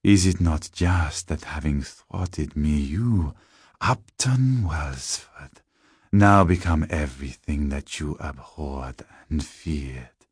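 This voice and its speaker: A man voicing a tall, sardonic male vampire in a gothic horror story, slow and deliberate with soft, seductive menace. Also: rumbling low, expressive, husky, no room sound, clean studio sound, heavily acted. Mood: gloating. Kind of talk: gothic horror audiobook character.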